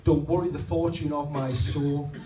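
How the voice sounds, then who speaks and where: A man's voice: a little high, fairly even intonation, moderate pace, noisy background. Man. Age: 30s. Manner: rhythmic, rhymed delivery between rambling, self-deprecating asides. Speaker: spoken word poet. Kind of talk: poetry reading with chatty asides. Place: pub function room at a poetry night. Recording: roomy, distant live recording, faint ambience.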